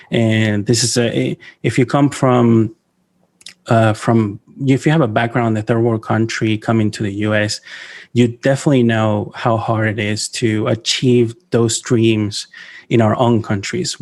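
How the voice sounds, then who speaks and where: Venezuelan-born man, halting podcast guest. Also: fairly low pitch. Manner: halting, many fillers and restarts, laughs often. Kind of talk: casual interview talk.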